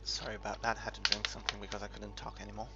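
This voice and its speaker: A man's voice: lowish voice, monotone, very fast, heavy background noise. A man from the UK giving a casual, unscripted game walkthrough, rambling and hesitant as he thinks aloud. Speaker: gamer making a game tutorial. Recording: muffled, dull recording with background music.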